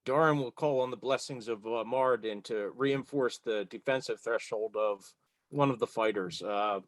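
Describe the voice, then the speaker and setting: A man's voice: mid-range voice, moderate pace, dry recording. A man playing a tabletop role-playing game, casually stating what his character does. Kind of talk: casual game chat, describing character's actions.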